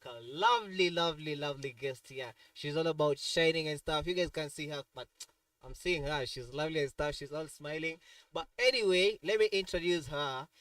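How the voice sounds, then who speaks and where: A man's voice: a little high, a bit bright, no room sound, far from the mic. A man hosts a radio talk show in a studio. His easygoing, chatty delivery keeps tagging lines with "yeah?". His voice sits a little back from the mic in a dry room. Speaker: radio host. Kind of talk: casual studio interview.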